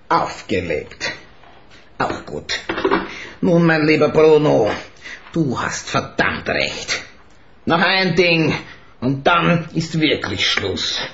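An actor playing a smug, menacing crime boss with false friendliness in a radio play. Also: male voice, a little high, muffled recording, exaggerated voice. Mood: quietly menacing. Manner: oily, mock-friendly. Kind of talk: German radio play acting.

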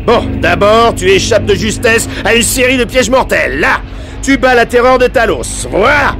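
A hammy, bossy movie director. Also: male voice, very high-pitched, expressive, normal speed. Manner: bossy, theatrical. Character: bossy hammy male film director, a bit of a diva. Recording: game audio with music bed.

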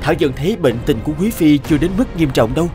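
A male actor as a humble, principled healer from an animated folk tale, courteous and earnest. He pleads politely but holds his ground, with clear music playing behind him. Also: a little high, fast, lightly acted. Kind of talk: animated moral tale dubbing.

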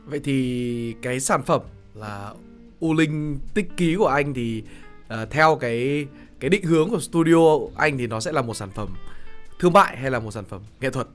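A young man with a northern Vietnamese accent interviews conversationally as a podcast host, phrasing his questions haltingly. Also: mid-range voice, lively intonation. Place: small studio. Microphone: close studio microphone. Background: soft plucked guitar music.

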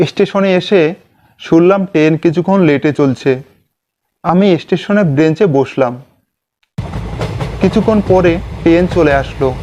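A man's voice: fairly high pitch, a little slow, pauses often, mellow, dull audio. A man narrating a scripted ghost story in the first person. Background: background music.

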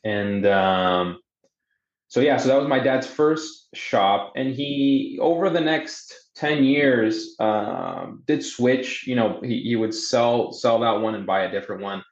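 A man's voice: medium pitch, expressive, slow. A man in his thirties from Boston, a native Portuguese speaker, telling his story conversationally in a hesitant, word-repeating way over a video call. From Boston, USA, with teenage years in Brazil. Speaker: podcast guest. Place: indoor room on a video call. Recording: muffled, echoey video call.

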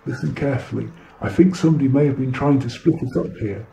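A man's voice: mid-range voice, quick pace, creaky, mellow, a little hiss or hum, close to an everyday voice, poor audio. A man playing a vain, boastful alien who relays messages telepathically in a pompous, theatrical way for a comic audio drama. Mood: conspiratorial. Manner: pompous, smug, theatrical, easily sidetracked.

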